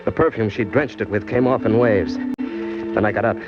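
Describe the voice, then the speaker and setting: A man's voice: lowish voice, moderate pace, lightly acted. An actor playing a cynical, slangy hardboiled criminal who tells his own crime story in an old-time radio drama. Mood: grim, matter-of-fact. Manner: tough, wry, slangy. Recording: dull old radio recording, music behind.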